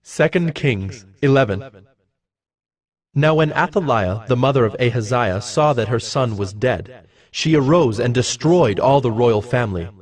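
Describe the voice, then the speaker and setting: A man reads scripture as scripted narration in a measured, solemn storytelling manner. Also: mid-range voice, animated. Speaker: narrator of a dramatized audio Bible. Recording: music bed behind the voice. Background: background music.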